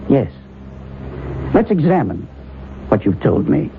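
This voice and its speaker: An actor playing a calm, authoritative male psychiatrist who asks measured clinical questions in a vintage radio drama. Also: mid-range voice, vocal fry, full character voice. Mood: insistent. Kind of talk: 1970s radio drama acting. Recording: noisy, phone-like vintage radio broadcast recording.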